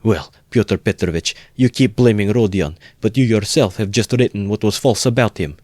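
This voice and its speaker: A man's voice: lowish voice, moderate pace, darkish tone, no echo, pitched above the performer's own voice, lightly acted. A man voicing a timid, faltering older mother who stammers and then finds her dignity. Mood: flustered.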